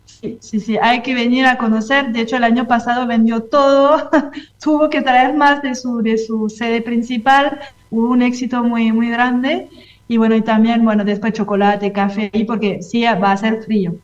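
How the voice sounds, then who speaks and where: French lady doing a casual interview in spanish, kinda high voice, french accent, bit dull recording, searches for words. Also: fairly even intonation, normal speed. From France. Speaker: interview guest, French cultural institute representative.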